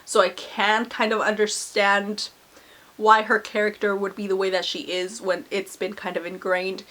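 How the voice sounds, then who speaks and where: A woman's voice: mid-range voice, little pitch movement, moderate pace, a bit bright. Book vlogger lady, kinda nasal from a cold, super chatty and blunt about her reads. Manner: chatty and candid, many "like" fillers, frank opinions. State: recovering from a cold. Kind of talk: casual book review chat.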